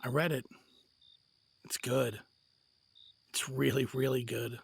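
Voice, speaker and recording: An actor playing a weary, self-doubting husband and father in an audio drama, halting but sincere. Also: mid-range voice, steady tone, creaky, slightly rough, exaggerated voice. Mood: tender, regretful.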